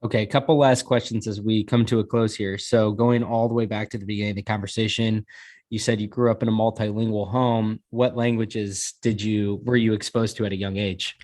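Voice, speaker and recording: A man's voice: fairly low pitch, flat delivery, brisk, darkish tone. A man hosting a podcast interview, talking in a reflective, loosely worded, complimentary way. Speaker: podcast host.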